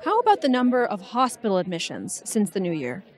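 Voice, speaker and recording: An actress playing an earnest radio co-host and reporter in an audio drama. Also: female voice, medium pitch, wildly swooping pitch, a little fast. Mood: inquiring.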